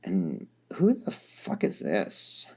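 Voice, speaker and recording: A man voicing a tired, gruff male council boss who speaks slowly with a southern drawl. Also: wildly swooping pitch, a little mellow, heavily acted. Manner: slow, low, drawling and dismissive.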